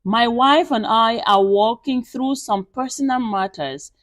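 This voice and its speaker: A woman's voice: fairly high pitch, measured pace, no echo, clean studio sound, close to an everyday voice. A woman voicing a weary male pastor in a story, curt, guarded and defensive.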